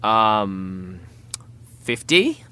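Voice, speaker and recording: Lively, flippant young royal heir. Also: female voice, rumbling low, extremely slow, smooth, full character voice. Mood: sarcastic. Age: young adult. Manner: sarcastic, casual, exasperated. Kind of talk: naturalistic romantic comedy audio drama acting.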